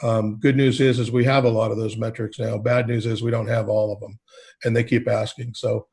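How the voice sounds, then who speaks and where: A man's voice: on the low side, flat delivery, brisk, a little mellow, slightly rough. A man, a state coalition leader from Oregon, talking steadily with many fillers on a panel call. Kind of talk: panel discussion on a video call. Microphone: video call.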